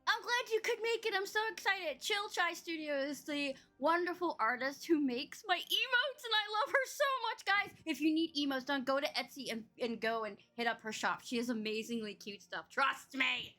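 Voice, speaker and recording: Bubbly, lively high-voiced woman host. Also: moderate pace, few pauses. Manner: bubbly, teasing, laughs often. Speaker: podcast host. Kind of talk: casual chat.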